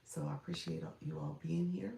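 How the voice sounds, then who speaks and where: A woman with a cold speaks in a low, gravelly, froggy voice, congested and clearing her throat now and then. She chats casually with live viewers as a streaming coach, repeating her welcomes and filling pauses. Her voice carries a roomy echo. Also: very animated, warm tone. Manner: chatty, repetitive welcomes with frequent fillers and throat-clearing.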